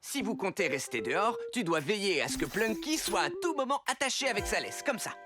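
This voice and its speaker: A man's voice: sits high, big pitch swings, a little fast, music in the background. A man voicing a kindly inventor and schoolmaster in a French cartoon dub, encouraging and precise. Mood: cheerful, thoughtful. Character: kindly male inventor schoolmaster of child superheroes.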